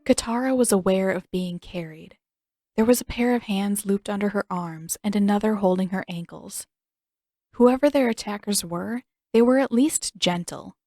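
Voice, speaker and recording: Woman, audiobook narrator, scripted fiction reading, mid pitch, dry close sound. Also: moderate pace, warm tone, dry recording.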